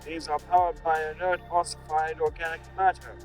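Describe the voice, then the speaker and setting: A man's voice: a little high, flat delivery, normal speed, close to an everyday voice. A man playing a stately alien robot envoy, formal and declamatory, in a comic dub. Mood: solemn, formal. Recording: voice under background music.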